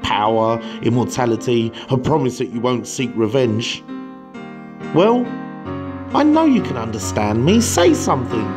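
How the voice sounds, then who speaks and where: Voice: medium pitch, sing-song, a little slow. A performer voicing a weary, fearful middle-aged man who leads a cult, speaking hesitantly in a motion comic. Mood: coaxing. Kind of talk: motion comic character dialogue. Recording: prominent music under the voice.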